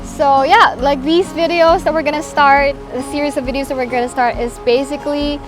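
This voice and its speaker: Young woman, kinda high voice, chatty and giggly, talking to the camera over faint music. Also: fairly even intonation, a little fast. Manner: chatty, giggly, many fillers and restarts. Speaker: vlogger. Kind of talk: casual chat to camera. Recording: music bed underneath.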